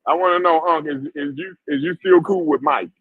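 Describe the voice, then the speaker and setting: A man's voice: high voice, wildly swooping pitch, brisk, creaky, slightly rough, no room sound. A man joining a group conversation over a call, asking questions earnestly and patiently, with dull, lo-fi audio.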